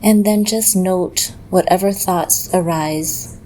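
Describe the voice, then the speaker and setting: A woman leading a guided meditation in a gentle, measured way, leaving long pauses between phrases. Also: lowish voice, slight background noise, low-quality recording.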